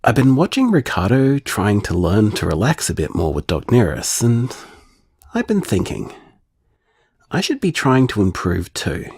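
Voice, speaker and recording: An anxious, tender man speaks in an intimate audio drama monologue. His voice is slightly husky and unhurried, with pitch that swings expressively as he haltingly confides in his partner. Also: wildly swooping pitch, darkish tone, no room sound, heavily acted. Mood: anxious, earnest. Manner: hesitant, earnest, halting phrasing.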